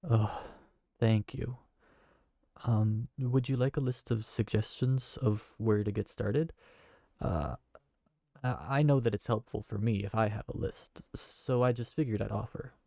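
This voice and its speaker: A man's voice: lowish voice, unhurried, creaky, mellow, no room sound. A man voicing a kind, awkward tavern keeper who talks in a hesitant, friendly way. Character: kind, awkward young male tavern keeper named Briar. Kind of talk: fantasy audio roleplay.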